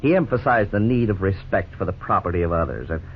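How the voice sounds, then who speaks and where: A middle-aged man playing a lawyer who narrates and explains the case in a measured, formal way, in 1950s radio drama style. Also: low voice, expressive. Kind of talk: scripted narration. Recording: scratchy 1950s radio broadcast, tinny, faint hiss.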